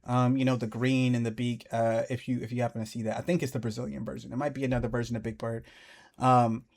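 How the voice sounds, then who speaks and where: A man talking casually as the host of a music reaction video, breaking down a song with animated asides. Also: fast. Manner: animated, jumps into high playful voices when excited.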